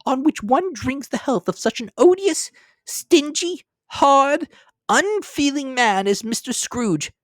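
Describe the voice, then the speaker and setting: A man voicing an indignant, sharp-tongued middle-aged mother, scolding and emphatic. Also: very high-pitched, expressive, slow pace, no room sound, pitched above the performer's own voice, full character voice.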